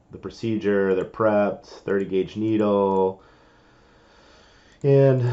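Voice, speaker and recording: A man presenting a casual, step-by-step software tutorial, informally explaining each step as he goes. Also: sits low, highs cut off.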